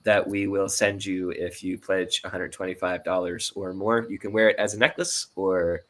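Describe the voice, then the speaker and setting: A man's voice: low voice, little pitch movement, normal speed. A man talking casually and informally as a guest, explaining things in a rambling, friendly way. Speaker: guest, audio drama producer. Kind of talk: casual explanation of crowdfunding rewards.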